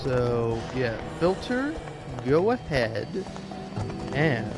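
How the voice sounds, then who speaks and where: Man, mid-pitched voice, rambling casual monologue, music behind. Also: sing-song, drawn-out words. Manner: rambling, self-questioning. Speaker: video creator.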